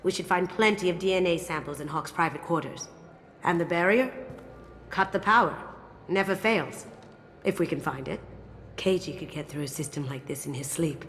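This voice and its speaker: An actress playing a sly, self-assured master thief in a video game, breezy and wry. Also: female voice, lowish voice, normal speed, a bit bright. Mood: confident, businesslike. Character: sly, confident master thief woman, playful and cool. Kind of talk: video game character acting. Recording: music behind the dialogue. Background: game soundtrack music.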